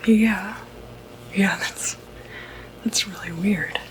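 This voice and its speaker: Over clear background noise, a calm, quietly puzzled doctor played by a woman whispers in a breathy ASMR roleplay voice. The delivery is slow and halting, with murmured hesitations, at a medium female pitch. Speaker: ASMR performer.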